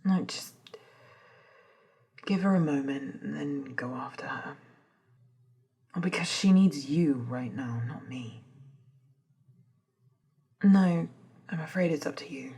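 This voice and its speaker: A woman acting in an ASMR audio drama as a stern, guarded leader, speaking in a measured, dry, admonishing way. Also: deep voice, gravelly, dark tone, husky, heavily acted. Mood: weary, resigned.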